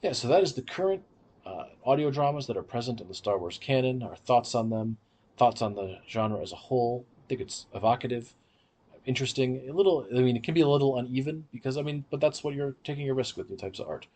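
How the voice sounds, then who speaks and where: A man's voice: mid-range voice, expressive, fast, a little mellow. A man hosting a podcast, giving an explanatory, opinionated review in casual discussion. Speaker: podcast host.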